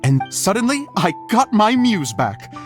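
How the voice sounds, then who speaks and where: Excitable, theatrical male game director, middle-aged, grandiloquent, swinging intonation, music behind. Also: high-pitched, measured pace, full character voice. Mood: excited, inspired. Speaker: voice actor. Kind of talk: animated game dialogue.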